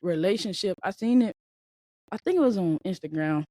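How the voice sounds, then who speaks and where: Mellow-voiced young woman podcasting. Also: medium pitch, animated, moderate pace, dry recording, studio quality. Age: 20s. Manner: casual, repetitive, emphatic, preachy. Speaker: podcast host. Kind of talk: solo motivational podcast talk.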